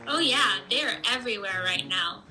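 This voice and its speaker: Woman doing chatty upbeat roaring twenties radio host, high sharp bright voice, music playing. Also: expressive, moderate pace, poor audio. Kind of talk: scripted 1920s-style radio show banter.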